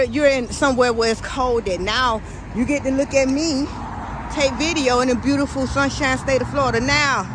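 Sassy woman vlogging by a busy road. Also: high voice. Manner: chatty, sassy, talks to viewers, scolds passing drivers. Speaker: vlogger filming a walk. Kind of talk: casual walk-and-talk commentary. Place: outdoors by a busy suburban road. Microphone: phone camera held while walking. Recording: noisy street recording with traffic. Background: passing car traffic.

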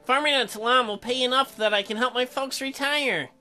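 A man voicing an earnest, halting young farmer in love in a visual novel. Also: extremely high pitch, sharp tone, soft background music, exaggerated voice. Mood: earnest pleading. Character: earnest young farm man, dreamy lover.

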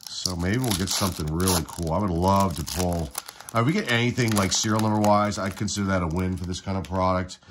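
A man's voice: deep voice, quick pace, background noise. A congested middle-aged man getting over a cold, casually running through trading card packs on his channel at a table indoors. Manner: relaxed, rattles off player names. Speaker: card-collecting channel host. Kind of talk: casual pack-opening commentary.

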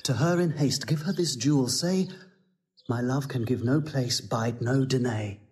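A man playing an ardent, commanding, lovesick nobleman in a classical Shakespeare audio drama, measured and declamatory. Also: medium pitch, a little mellow, lightly acted.

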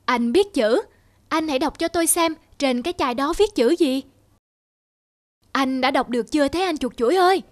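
A woman voicing a naive, anxious barn rat in an animated fable, polite and eager. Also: high-pitched, moderate pace, no room sound, clean studio sound, natural voice. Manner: eager, deferential. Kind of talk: animated fable character acting.

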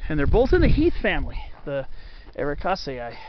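A man's voice: on the high side, huge pitch swings, a little fast, muffled recording, heavy background noise. A man from Southern California narrating casually as he hikes a forest trail, pointing out trees, plants and ridges, tired from the heat. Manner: rambling, informative, names plants and landmarks. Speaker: hiking vlogger. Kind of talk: casual narration while hiking. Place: outdoors on a forest mountain trail. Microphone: body-mounted action camera.

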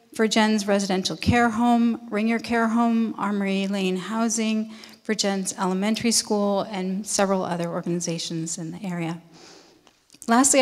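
A woman in her forties reading a prepared statement into a handheld mic at a town meeting. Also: mid-range voice. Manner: steady, reads from notes. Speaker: nonprofit representative. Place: large meeting hall. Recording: long hall reverb.

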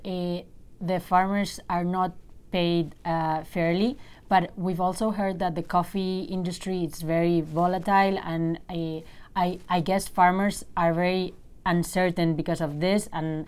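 A woman co-hosting a show, asking measured, slightly hesitant questions in an office lounge. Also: on the low side, a little mellow, smooth. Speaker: co-host. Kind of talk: scripted show intro and interview questions.

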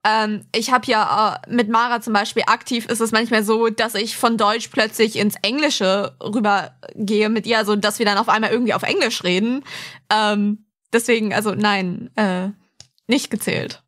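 A woman's voice: medium pitch, steady tone, moderate pace, fairly bright tone. A young woman co-hosting a podcast, speaking in an informal, frank, chatty way. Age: young adult. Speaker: podcast host. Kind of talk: casual podcast chat.

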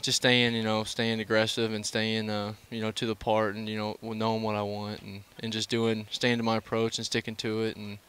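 A young man answers postgame questions on a headset mic at a night ballpark. His voice is low, smooth and quite flat, and he keeps stopping, restarting and filling gaps with "you know". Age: young adult. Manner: halting, many fillers and restarts. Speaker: college baseball player. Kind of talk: postgame interview.

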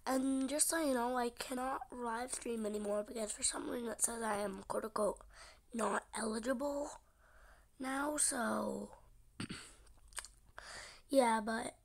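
A young gamer with a stuffy, congested nose, chatting casually and often half-whispering while playing a game. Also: female voice, fairly high pitch, vocal fry. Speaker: gaming channel host. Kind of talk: casual gameplay commentary.

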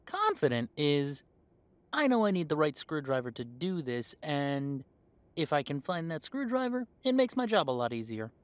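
A man's voice: on the high side, sing-song, normal speed, no room sound. A man playing an easygoing, confident young werewolf in a roleplay audio drama, talking in a chatty, hesitant, conversational way. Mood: amused, self-assured. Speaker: voice actor.

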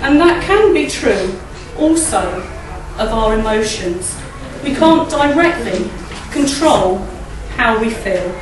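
A middle-aged woman with a croaky voice speaks at a church service from a lectern mic, chatty and often asking the audience tag questions. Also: fairly high pitch, lively intonation, slow pace, few pauses, background noise. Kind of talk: informal talk at a church service. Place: church hall, indoors. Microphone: standing mic at a lectern, filmed by a far camera. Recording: echoey hall, recorded from a distance.

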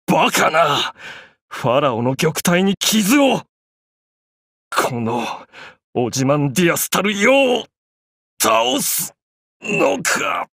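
A man's voice: high voice, sing-song, slow, vocal fry, long pauses, a bit bright, dry recording, clean studio sound, full character voice. An actor playing a proud, imperious young pharaoh and godlike sun king in an anime game, speaking in grandiose, archaic phrases. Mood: shocked. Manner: pompous, grandiose, archaic speech.

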